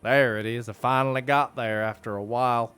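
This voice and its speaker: A man's voice: steady tone, fairly quick, a bit bright, clear, resonant tone, faint music behind, close to an everyday voice. A man doing a drawling Southern yokel. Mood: scheming. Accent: put-on American Southern drawl. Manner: deep, drawling, folksy. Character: scheming young male peasant yokel.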